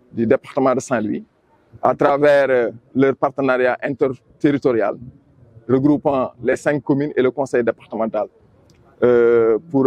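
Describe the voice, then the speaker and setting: Senegalese official guy explaining formally, lots of pauses, deliberate, dry close sound. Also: mid-range voice, darkish tone, dry recording. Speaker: regional development agency planning official. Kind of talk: formal press statement.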